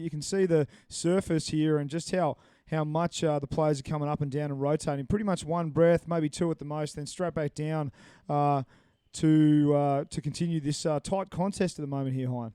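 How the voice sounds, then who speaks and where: A man's voice: a little high, steady tone, moderate pace, mellow, clear, resonant tone, no echo. A man commentating a live match with upbeat, jokey analysis and frequent fillers. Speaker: sports commentator.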